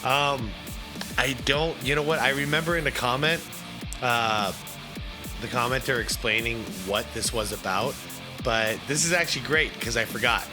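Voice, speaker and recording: A man with a hoarse voice from a cold, chatting casually with many fillers as he hosts a reaction video. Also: medium pitch.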